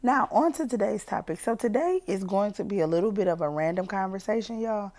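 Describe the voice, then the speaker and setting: A young woman hosting her own podcast while sick, her voice hoarse and partly gone, chatting folksily with listeners she calls family. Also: mid-range voice, expressive, brisk, a little mellow. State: partially lost voice from sickness. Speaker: podcast host. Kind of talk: casual motivational podcast talk.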